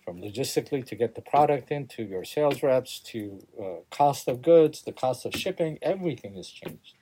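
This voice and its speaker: A man answering interview questions casually as a small business owner, plainspoken and halting, repeating himself for emphasis. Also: mid-range voice, expressive, dark tone, slightly rough, low-quality recording.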